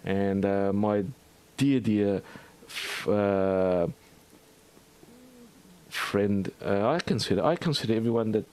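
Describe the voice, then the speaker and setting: Rambling, lowish-voiced man with an Australian accent. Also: slow, long pauses, a little mellow. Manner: rambling and hesitant, full of ums, restarts and asides. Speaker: archaeology channel host. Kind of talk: casual vlog commentary reading out a study.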